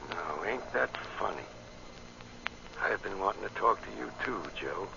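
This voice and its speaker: A man's voice: sits low, lively intonation, unhurried, gravelly, full character voice. An actor playing a smug, menacing racketeer boss in an old-time radio drama, talking in sneering tough-guy slang. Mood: smug, needling. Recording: dull, noisy old radio recording.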